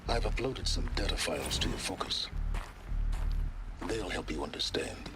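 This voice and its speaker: Male mentor, very low, cool, explanatory, measured, game dialogue, music underneath. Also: lively intonation. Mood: matter-of-fact. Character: cool, knowing male mentor guiding from afar.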